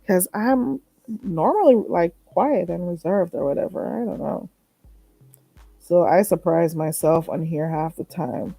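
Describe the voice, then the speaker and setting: A woman's voice: on the low side, unhurried, vocal fry, warm tone, no room sound, soft background music, poor audio. Chatty woman with a stuffy nose. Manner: chatty, emphatic, repeats herself. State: stuffy, a little nasal. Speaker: live stream host. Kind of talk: late night live chat with viewers.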